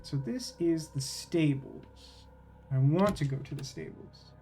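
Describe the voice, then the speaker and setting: Guy streaming a game, pretty high voice, wry rambly thinking out loud to chat, bit of music behind. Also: unhurried. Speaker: livestreamer playing a game. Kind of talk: casual livestream game commentary with chat.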